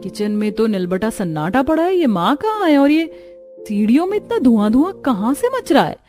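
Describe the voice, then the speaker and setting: A woman's voice: fairly high pitch, sing-song, normal speed, dark tone, faint music behind. A woman voicing a whiny, put-upon young bride who complains and exclaims, in a cartoon story.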